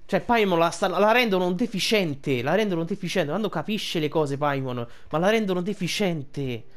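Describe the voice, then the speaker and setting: A man's voice: high-pitched, lively intonation, moderate pace. A young adult man giving casual, colloquial, emotionally invested game commentary, with some swearing. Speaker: game streamer.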